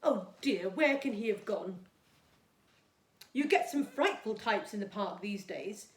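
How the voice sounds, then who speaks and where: A snobbish storybook mother, acted out by a woman reading aloud, prim, bossy and disapproving. Her pitch swoops about, and the room echoes around her. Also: animated, a little slow, close to an everyday voice. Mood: stern, imperious. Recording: echoey, roomy sound.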